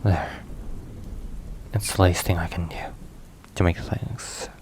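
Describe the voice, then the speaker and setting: A man playing a brooding but tender mafia boss boyfriend in an intimate ASMR roleplay, murmuring haltingly with hushed pauses. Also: low-pitched, level tone, noisy background. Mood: sheepish, tender. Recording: rain and thunder behind voice. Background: rain and thunderstorm ambience.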